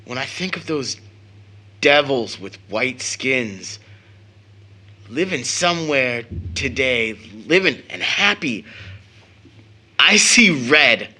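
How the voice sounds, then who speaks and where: An earnest young son in an audio drama. His voice is bright and tense, his pace very slow. He speaks in halting, emotional phrases, full of pride and bitter intensity. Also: medium pitch, sing-song, full character voice. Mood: bitter anger.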